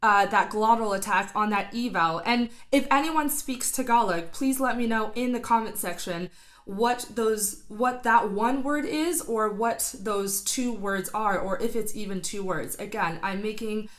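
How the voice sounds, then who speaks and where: A woman's voice: medium pitch, measured pace, fairly bright tone. A woman giving casual, enthusiastic reaction commentary as a vocal coach, breaking down a singer's technique and thinking aloud with fillers. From not Filipino; studied singing.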